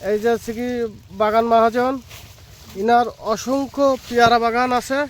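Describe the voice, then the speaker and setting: A man's voice: very high-pitched, fairly even intonation, normal speed. A man presenting an informal vlog, addressing viewers directly and repeating himself. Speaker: vlogger, host. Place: outdoors in a guava orchard. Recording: outdoor recording with faint background noise.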